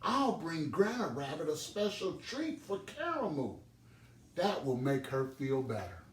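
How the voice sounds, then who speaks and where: Man voicing an eager little boy rabbit, pitched high. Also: huge pitch swings, a little slow. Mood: excited. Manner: childlike, earnest. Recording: roomy, noisy, distant microphone.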